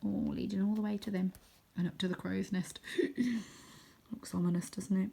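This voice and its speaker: A woman narrating a children's adventure book in an expressive, dramatic way, sometimes hushed for suspense. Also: mid-range voice, normal speed, creaky, slightly rough. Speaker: story reader.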